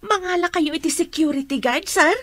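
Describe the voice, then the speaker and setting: A woman's voice: extremely high pitch, brisk, fairly bright tone, dry recording. A woman acting as an insistent, alarmed member of the household staff in a radio drama, with an Ilocano accent. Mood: concerned.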